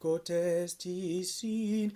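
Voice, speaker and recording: A woman playing a ceremonial herald at a feast, reciting in a measured, declamatory way. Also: fairly low pitch, steady tone, dark tone, soft background music. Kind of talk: slow festive recitation and carol.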